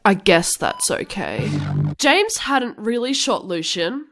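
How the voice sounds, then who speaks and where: A woman's voice: mid-range voice, sing-song, slow pace, full character voice. A woman voicing a gushing, melodramatic teenage goth girl vampire, narrating parody fan fiction in an over-the-top dramatic way. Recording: loud music behind the voice.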